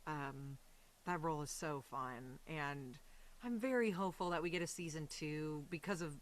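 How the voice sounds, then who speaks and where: Chatty, effusive woman, slightly low-pitched. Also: normal speed, slight background noise. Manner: chatty, effusive, peppered with slang and quoted asides. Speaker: interview guest, voice actress. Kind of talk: casual podcast conversation.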